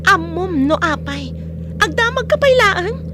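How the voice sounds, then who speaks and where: A woman's voice: very high voice, sing-song, a little slow, background noise, exaggerated voice. An actress playing a headstrong, hurt young woman in a radio drama. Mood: hurt, insistent.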